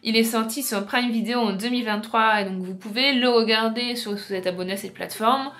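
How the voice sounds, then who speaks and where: A woman with a slightly high voice talks as a book vlogger. She explains in an informal, chatty way, as in a casual video essay. She addresses her viewers directly. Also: fairly quick, fairly bright tone.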